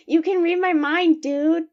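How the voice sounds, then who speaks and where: A woman playing an excitable, friendly young monster child in an audio drama, with an enthusiastic, slangy way of talking. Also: very high voice, slow, dull audio. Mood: excited.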